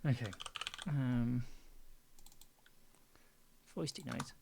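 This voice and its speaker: A woman chatting casually on a livestream while she edits audio, with an expressive, giggly and effusive manner. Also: rumbling low, very slow, gravelly, background noise. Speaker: audio drama producer and livestream host.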